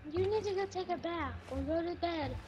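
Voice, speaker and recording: A performer voicing a creepy, doting and bossy old grandmother in a video game roleplay, cooing with drawn-out endearments. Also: very high-pitched, a little mellow, highs cut off, soft background music. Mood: cloying, bossy.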